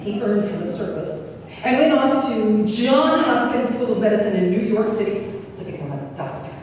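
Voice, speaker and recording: A woman's voice: mid-range voice, animated, normal speed, a little mellow, slight background noise, close to an everyday voice. A woman playing an eager, anxious devotee in an amateur radio play, with a hesitant, earnest way of talking. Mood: playful. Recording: distant echoey hall.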